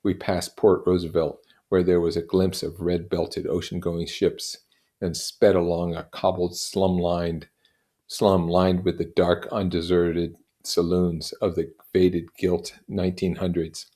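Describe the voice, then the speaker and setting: A man reading literary fiction aloud as an expressive narrator, with deliberate, dramatic phrasing. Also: low voice.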